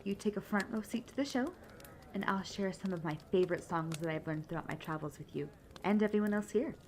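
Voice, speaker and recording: A woman's voice: mid-range voice, brisk, a little mellow, natural voice. Woman acting as young female bard, bubbly, chatty, polite, fantasy audio roleplay, ambient noise behind the voice. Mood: cheerful, chatty. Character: peppy young travelling bard woman, cheerful and apologetic.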